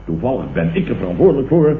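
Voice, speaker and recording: Man acting a pompous, blustering businessman, wildly animated. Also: mid-range voice, fast, lightly acted. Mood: pompous, self-righteous. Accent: Dutch, from the Netherlands. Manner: pompous, indignant, declamatory, with repeated sputtering interjections. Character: blustering, pompous middle-aged businessman, opportunistically turned leftist. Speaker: radio comedy actor. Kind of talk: 1960s-70s radio comedy acting. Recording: tinny, echoey, hissy old radio recording.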